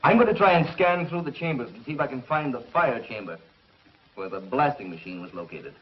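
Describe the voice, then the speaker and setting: An actor playing a grave, commanding scientist in a 1950s television serial. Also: male voice, medium pitch, lively intonation, brisk, close to an everyday voice. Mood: concerned. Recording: muffled 1950s TV soundtrack, faint noise.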